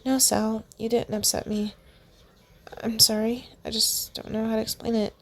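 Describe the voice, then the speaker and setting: A woman's voice: a little high, little pitch movement, normal speed, gravelly. A woman voicing a shy, emotional young woman who speaks in a hesitant, shaky way, in a storybook reading. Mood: tearful, hesitant.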